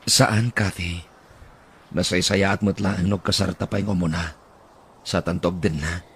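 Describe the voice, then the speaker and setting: A voice actor playing a gentle, devoted young man who speaks low, softly and earnestly in a radio-style drama. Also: steady tone, a little slow, slightly rough, heavily acted. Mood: earnest, pleading. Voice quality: deep, low and hushed. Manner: tender, earnest pleading.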